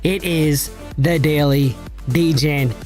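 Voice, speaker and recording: A man hosting a casual crypto trading livestream, slangy and hyped, his voice raspy and hoarse from a cold. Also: on the high side, steady tone, slow pace, a little mellow, soft background music. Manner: slangy, hyped, addresses chat directly. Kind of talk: casual livestream market talk.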